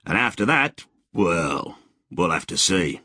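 A man's voice: low voice, sing-song, slow pace, sharp tone, no echo, clean studio sound, full character voice. A man voicing a cheerful, grizzled older man with a breezy, slangy way of talking.

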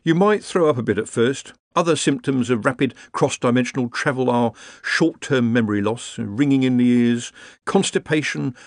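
A man's voice: medium pitch, moderate pace, no room sound, lightly acted. A man voicing an anxious, weary veteran wizard who fusses and coaxes. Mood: fretful, coaxing.

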